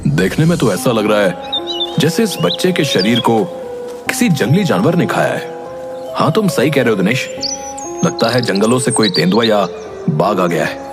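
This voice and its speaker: A man's voice: mid-range voice, very animated, moderate pace, pauses often. A man giving dramatic voice to village characters and a teacher in a horror cartoon. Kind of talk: cartoon dialogue. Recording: over loud music.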